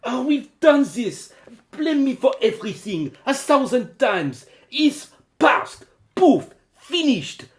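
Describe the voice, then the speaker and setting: A man playing a defensive, evasive crook and quarrelling lover in a radio drama, with an excitable manner. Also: very high voice, slow, exaggerated voice. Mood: angry, exasperated.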